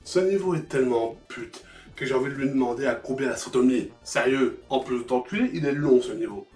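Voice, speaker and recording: A man's voice: medium pitch, fairly quick, a little mellow. A man giving humorous scripted video game review narration, sarcastic and foul-mouthed. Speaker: host of a retro video game review show. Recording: roomy echo, music behind.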